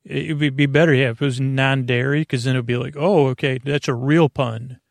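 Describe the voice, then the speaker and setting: Creaky gravelly guy rambling on his podcast, keeps losing his thread, really dry close sound. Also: medium pitch, steady tone, no echo. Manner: meandering, halting, trails off mid-thought. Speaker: podcast host. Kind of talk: rambling, casual bedtime podcast monologue.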